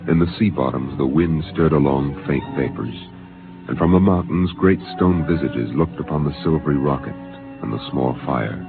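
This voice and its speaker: A man's voice: very deep voice, moderate pace. A man narrating a 1950s radio drama in a grave, dramatic manner. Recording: tinny old radio broadcast with music.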